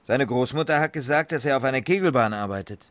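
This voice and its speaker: An actor playing a commanding, sardonic police sergeant interrogating suspects in a radio crime drama. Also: male voice, mid-range voice, quick pace, a bit bright, dry recording, natural voice. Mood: stern, sarcastic.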